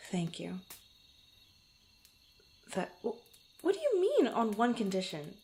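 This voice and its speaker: A woman playing a proud but tender young female adventurer in an intimate ASMR roleplay, speaking softly and haltingly. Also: mid-range voice, wildly swooping pitch, exaggerated voice. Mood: earnest, pleading, then flustered. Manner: halting, stammering, soft-spoken with breathy asides.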